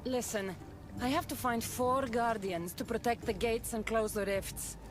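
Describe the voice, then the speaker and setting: A woman's voice: fairly high pitch, measured pace, music in the background, natural voice. An actress voicing a determined, wry mortal warrior woman of ancient Greece in a video game, speaking in a dry, resolute way. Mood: serious.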